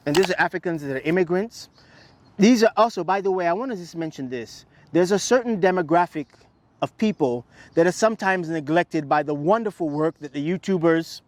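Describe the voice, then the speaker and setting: A man being interviewed, giving advice in an emphatic way and building lists and rhetorical questions. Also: on the high side. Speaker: interview guest. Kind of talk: reflective advice-giving conversation.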